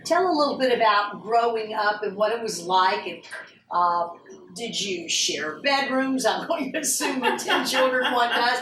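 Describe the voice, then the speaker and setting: A woman interviewer with a fairly high voice asks gentle, prompting questions and often pauses on fillers. She is heard from a distance, in an echoey room with noise behind her. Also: lively intonation. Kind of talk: oral history interview.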